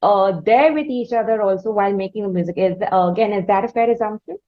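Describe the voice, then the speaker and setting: A woman with an Indian accent hosting an interview, building up wordy, halting questions in a conversational way. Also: medium pitch. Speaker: interviewer, host. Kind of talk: conversational long-form interviewing. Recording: slightly dull, lo-fi audio.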